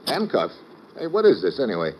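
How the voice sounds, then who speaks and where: An actor playing a wry, hard-boiled American secret agent in old radio drama style, narrating and trading clipped wisecracks. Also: male voice, mid-range voice, wildly swooping pitch, fairly quick, a little hiss or hum. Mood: sardonic. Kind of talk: 1950s radio drama acting and first-person narration. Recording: muffled old radio recording with music.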